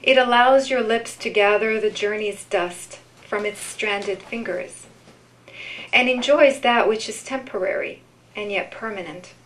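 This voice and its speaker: Slow, deliberate woman reading poetry. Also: medium pitch, fairly bright tone, poor audio. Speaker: poetry reader. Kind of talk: live poetry reading with informal commentary.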